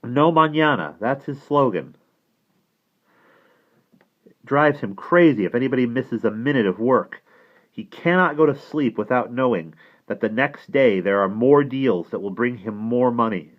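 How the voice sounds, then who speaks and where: Man voicing a bitter young guy on an animated rant. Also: medium pitch, normal speed, muffled recording, pitched above the performer's own voice, natural voice. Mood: exasperated, indignant. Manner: ranting, emphatic, sardonic. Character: young man, bitter, indignant, griping about his boss.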